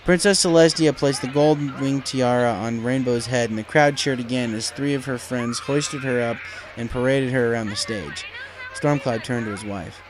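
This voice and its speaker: A man's voice: medium pitch, normal speed, hardly any pauses, noisy background. A man reading a story aloud as dramatic scripted narration. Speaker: fan fiction reader. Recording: music under the voice.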